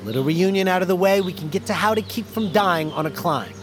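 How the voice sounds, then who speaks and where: A grave fantasy guide and rebel leader. He speaks formally and with measured care, in old-fashioned phrases, over clear background music. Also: sits high, expressive. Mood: brisk. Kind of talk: fantasy audio drama acting.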